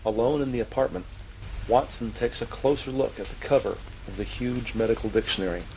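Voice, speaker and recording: A man's voice: medium pitch, brisk, darkish tone, slight background noise, poor audio. A man narrating an audio drama mystery in a dramatic, scripted style.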